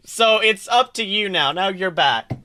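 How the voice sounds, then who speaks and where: A man with a bright, fairly high voice hosts a tabletop game as game master. He sounds clear and narrates casually, with a teasing, jokey manner. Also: expressive, slow. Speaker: game master, podcast host.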